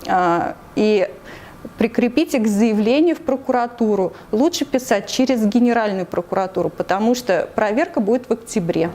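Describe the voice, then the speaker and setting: A woman's voice: mid-range voice, animated, moderate pace, mellow, slight background noise. A woman from Tatarstan, an interview guest, explaining her view earnestly with frequent hesitations and restarts. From Tatarstan, Russia. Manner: hesitant, many fillers and restarts. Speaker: interview guest, anti-corruption committee member.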